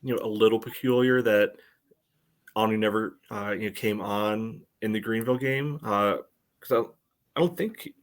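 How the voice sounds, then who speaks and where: Man, low voice, podcast co-host, casual sports analysis, halting and rambling delivery. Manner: halting, rambling, very frequent "you know".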